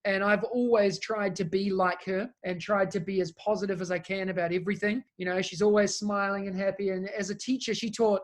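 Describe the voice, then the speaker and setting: A man's voice: high-pitched, level tone, a little fast, hardly any pauses. Upbeat New Zealand man. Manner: upbeat, friendly, talks at length with fillers. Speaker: guest, education technology consultant. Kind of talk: casual conversational interview.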